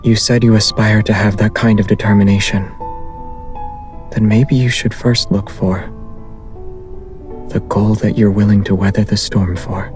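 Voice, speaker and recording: Casting: male actor for soft-spoken young man in a romance game, lowish pitch, unhurried, gently teasing, music underneath. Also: flat delivery, natural voice. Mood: calm, thoughtful. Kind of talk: intimate game voice acting.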